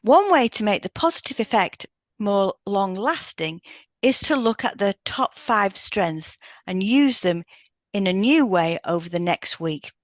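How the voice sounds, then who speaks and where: A woman's voice: mid-range voice, lively intonation, a little slow, dry recording. Woman reading instructional podcast script, dry close sound. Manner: reads out step-by-step instructions with brief asides. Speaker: podcast co-host, hypnotherapist.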